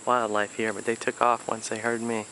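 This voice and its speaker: A man's voice: on the low side, moderate pace, poor audio. Man narrating a swamp hike outdoors. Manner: relaxed, chatty, with frequent ums and uhs. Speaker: hiker filming his walk. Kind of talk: casual narrated hike vlog. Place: outdoors in a swampy Florida wetland. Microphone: handheld or body camera, unseen speaker. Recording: outdoor camera audio with nature noise. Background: insects and crickets in open nature.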